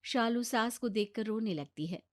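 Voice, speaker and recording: A woman's voice: fairly high pitch, moderate pace. A woman narrating a scripted children's story as its storyteller.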